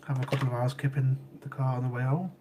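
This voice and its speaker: A man's voice: medium pitch, flat delivery, quick pace, noisy background. Sniffly, chatty man. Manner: chatty, repeats himself, laughs easily. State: sniffly with a cold. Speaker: livestream host. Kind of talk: casual chat with live chat viewers.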